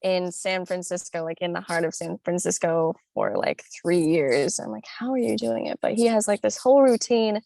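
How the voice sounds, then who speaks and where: Chatty young woman with gravelly fry. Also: on the low side, lively intonation, moderate pace, hardly any pauses, dark tone, no room sound. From born in Italy, raised in northern Florida. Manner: chatty, upbeat, frequent "like" and laughs. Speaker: podcast guest. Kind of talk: casual chat.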